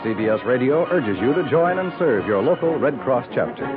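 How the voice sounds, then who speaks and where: A man's voice: medium pitch, animated, a little fast, narrow, tinny audio. An American man announcing in the formal, polished, scripted style of 1950s network radio. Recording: thin 1950s radio recording with music.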